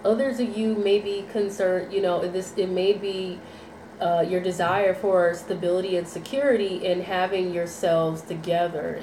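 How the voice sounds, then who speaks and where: A woman's voice: fairly low pitch, mellow. A woman with a cold giving a tarot reading to viewers, sounding nasal and rambling through repeated phrases and fillers. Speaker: tarot reader. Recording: echoey room, faint background noise.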